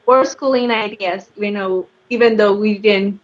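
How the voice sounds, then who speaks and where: Woman, slow hesitant answers with lots of ums, muffled video call sound. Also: medium pitch, fairly even intonation. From Mexico. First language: Spanish. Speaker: guest. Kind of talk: casual interview answers. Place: home. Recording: dull lo-fi video call audio.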